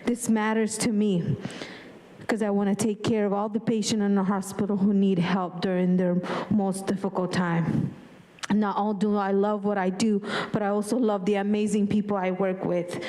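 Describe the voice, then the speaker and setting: A woman's voice: medium pitch, little pitch movement, normal speed, dark tone, rough recording. A middle-aged woman from Lebanon, giving an earnest public comment with small grammar slips. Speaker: public commenter, nursing assistant.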